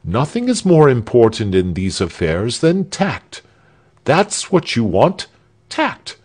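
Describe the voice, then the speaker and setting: A man's voice: medium pitch, huge pitch swings, slow pace, darkish tone, slightly rough, voice pushed up, full character voice. A man voicing a pompous, fussy male villager who lectures everyone on tact. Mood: lecturing. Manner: lecturing, self-important.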